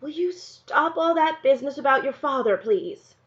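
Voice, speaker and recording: A woman's voice: sits high, lively intonation, normal speed, pitched above the performer's own voice. An earnest, weary mother from a storybook, voiced by a woman reader, speaks with pleading emphasis. The voice is lifted above plain narration, on a slightly dull recording. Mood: weary, firm. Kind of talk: storybook character dialogue.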